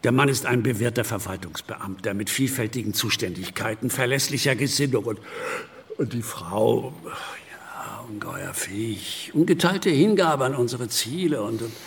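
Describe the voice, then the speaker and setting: A man acts a smooth-talking politician in a radio drama. His medium-pitched voice has some gravel in it, and he needles and persuades, pausing between phrases. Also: lightly acted. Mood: persuasive, hesitant.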